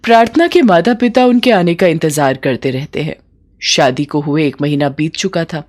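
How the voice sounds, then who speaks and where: Woman narrating a moral tale, lowish voice. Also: lively intonation, normal speed, darkish tone. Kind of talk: scripted children's moral story narration.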